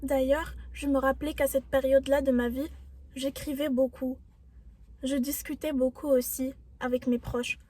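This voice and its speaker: A woman's voice: high voice, little pitch movement, normal speed, darkish tone. A young woman giving an earnest, encouraging self-help talk as a vlogger, reflective in tone.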